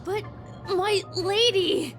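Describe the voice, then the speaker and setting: High-voiced, earnest young boy guard. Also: fairly bright tone, full character voice. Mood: startled. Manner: hesitant, halting, polite and formal. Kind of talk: scripted game dialogue. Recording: music playing behind the voice.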